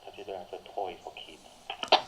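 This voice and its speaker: A man's voice: low voice, little pitch movement, normal speed, sharp tone. A man giving short casual replies, heard through a walkie-talkie radio. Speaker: test partner. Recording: distant, noisy toy walkie-talkie speaker.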